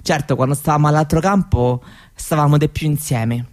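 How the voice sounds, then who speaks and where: An actress playing an easygoing young Roma daughter, chatting colloquially in a Roman accent as part of a theatre monologue. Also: female voice, sits low, moderate pace, a little mellow, close to an everyday voice. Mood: fond, casual. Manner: colloquial, chatty, answering an unseen listener. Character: easygoing young Roma daughter reminiscing about camp life.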